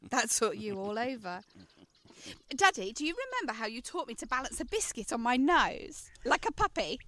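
A woman's voice: a little high, sing-song, moderate pace, bright, forceful tone. A woman playing a playful, affectionate teenage daughter in a radio play, teasing and coaxing in a girlish way. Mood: fond, teasing.

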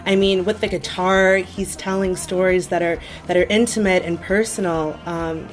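A woman's voice: fairly low pitch, little pitch movement, normal speed, low-quality recording. A woman on a radio panel, talking about music in a thoughtful, articulate way. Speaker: guest music critic.

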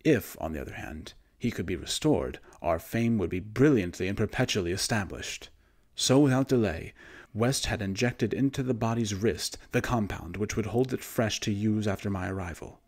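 Male narrator for suspense and horror fiction: slightly deep, measured delivery, formal literary reading. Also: expressive, darkish tone. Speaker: audiobook narrator and voice actor. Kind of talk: scripted narration of a horror story.

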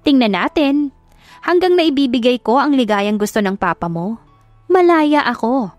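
A woman plays a scheming Filipina stepmother in an audio drama. She talks in a high, haughty voice, mocking and taunting. Soft music sits behind the dry recording. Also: animated, normal speed, no echo. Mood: smug, threatening.

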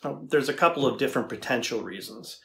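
A middle-aged man playing a thoughtful AI researcher in a dramatized interview, deliberate and conversational as he probes. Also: mid-range voice, vocal fry, fairly bright tone. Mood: explanatory, thoughtful. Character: thoughtful male AI researcher questioning a machine. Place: indoor room with backdrop, seated.

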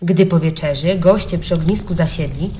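A woman's voice: on the low side, normal speed, dark tone, a little hiss or hum. A woman reading a legend for children as a scripted storybook narrator.

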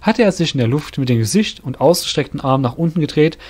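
A man's voice: a little high, animated, quick pace, a little mellow. A man reading scripted fantasy narration for an audio drama. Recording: music under the voice. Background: music.

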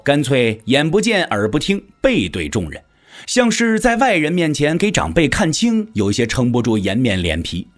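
A man narrating a martial-arts novel as an audio drama, with theatrical, scripted storytelling delivery. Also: fairly high pitch.

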